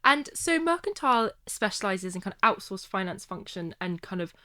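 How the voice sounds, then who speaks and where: A woman hosts a podcast interview in a warm, encouraging manner. Her voice sits at a middle pitch, and the recording is close and dry. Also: sing-song, fairly quick, dry recording. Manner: warm, encouraging, frequent affirming murmurs. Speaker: podcast host.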